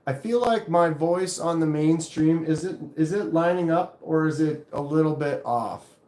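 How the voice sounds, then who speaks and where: A man with a deep voice hosting a casual live stream, rambling loosely and reading viewer comments aloud. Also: moderate pace, reverberant. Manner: relaxed, rambling, reads chat aloud. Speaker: knife channel live streamer.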